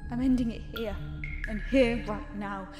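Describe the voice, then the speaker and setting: A woman playing a long-enslaved maid in an audio horror drama, speaking in an earnest, halting, dramatic way. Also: on the high side, fairly even intonation, natural voice. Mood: resolute defiance. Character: long-enslaved maid, plaintive, awakening to defiance. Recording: echoey voice under music. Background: dramatic music.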